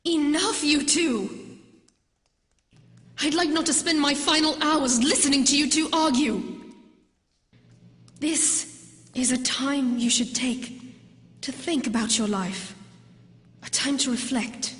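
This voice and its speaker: A woman's voice: sits high, slow pace, long pauses, a bit bright. A woman playing a stern, composed prisoner in an audio drama. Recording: echoey reverberant hall.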